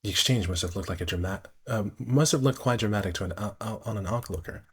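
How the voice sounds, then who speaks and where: A man's voice: fairly low pitch, fast talker, a bit bright, slightly rough. A man reading a visual novel aloud on a stream with casual commentary, sometimes stumbling over words. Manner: stumbles over words and rereads phrases while narrating. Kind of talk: amateur read-aloud narration with casual commentary.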